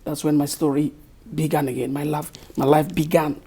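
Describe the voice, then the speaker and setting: A middle-aged man from Cameroon with a Cameroonian accent, answering interview questions slowly and thoughtfully, often searching for words. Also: a little high, little pitch movement, mellow, husky. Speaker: author guest.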